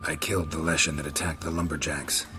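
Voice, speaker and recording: An actor playing a gruff, world-weary monster hunter in a video game, terse and deadpan with a gravelly voice. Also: male voice, fast talker, over music. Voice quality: low, gravelly. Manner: terse, deadpan, clipped sentences. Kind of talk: video game character acting.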